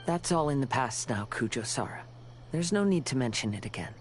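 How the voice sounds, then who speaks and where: An actor playing a gentle, honorable young wandering samurai in an anime game, polite and reflective. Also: male voice, medium pitch, expressive, a little fast, soft background music, close to an everyday voice. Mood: calm, courteous. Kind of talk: anime video game voice acting.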